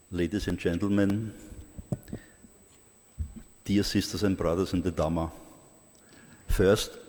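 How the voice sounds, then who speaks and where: A man with a thick Austrian accent delivers an earnest, formal speech, sometimes catching on a word and restarting. His voice sits very low. A long reverb from the large conference hall trails behind each phrase. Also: darkish tone, a little hiss or hum. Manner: earnest, halting on some words. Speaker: conference speaker.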